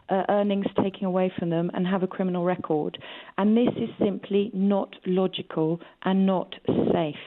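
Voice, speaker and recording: A woman's voice: medium pitch, phone-quality sound. A woman speaking as a campaigning guest in a radio interview, stressing key words emphatically. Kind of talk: radio interview, advocacy talk.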